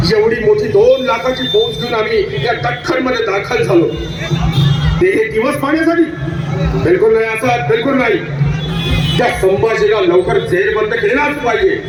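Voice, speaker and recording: Irascible Mughal emperor villain, high-pitched, imperious scolding on an echoey stage. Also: male voice. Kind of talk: theatrical stage-play dialogue. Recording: echoey distant stage sound, music and crowd.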